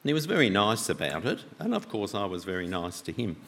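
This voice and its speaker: A man preaching a sermon with a loud voice, talking conversationally and asking the congregation many questions. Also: fairly low pitch, wildly swooping pitch, quick pace. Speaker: pastor. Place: church. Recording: long church reverb.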